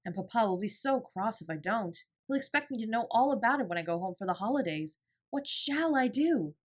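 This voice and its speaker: A woman's voice: on the high side, lively intonation, a little fast, voice pushed up. A woman voicing a naive, easily dejected schoolgirl in a storybook, simple and rueful in manner. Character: plump, dejected schoolgirl, slow learner, naive and admiring.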